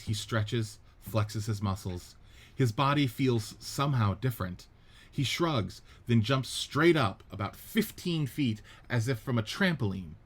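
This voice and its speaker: A man narrating the stage directions of a radio play script in a steady, descriptive reading. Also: medium pitch.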